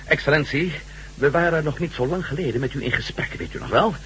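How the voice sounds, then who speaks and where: A man's voice: on the high side, a little fast, fairly bright tone, slight background noise, natural voice. A man acting an earnest, deferential conspirator in a Dutch radio drama, polite and eager as he addresses a superior. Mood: urgent, deferential. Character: deferential, earnest male conspirator pleading for help.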